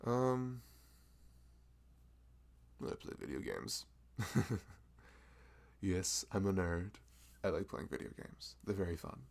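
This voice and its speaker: A man playing a chatty, gravelly-voiced florist. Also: deep voice, big pitch swings, slow, long pauses, a little mellow, heavily acted. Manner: rambling, self-deprecating, laughs often. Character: chatty nerdy young male florist, friendly. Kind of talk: ASMR roleplay.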